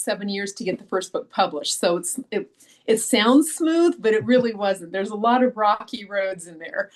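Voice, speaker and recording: Chatty woman author with frequent ums. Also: mid-range voice. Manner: chatty, frequent ums and restarts, laughs easily. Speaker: author guest. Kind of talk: casual interview chat.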